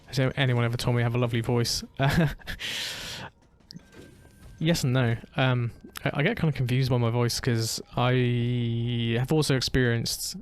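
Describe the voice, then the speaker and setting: A man giving casual gameplay commentary as a let's play host, with a relaxed, quick, slightly mumbly way of talking. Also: mid-range voice, clear, resonant tone.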